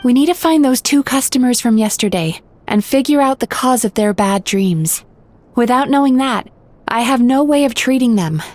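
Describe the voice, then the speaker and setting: A woman voicing a composed, gentle young dream therapist who explains things calmly in an anime-style video game. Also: fairly high pitch, normal speed, lightly acted. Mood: concerned.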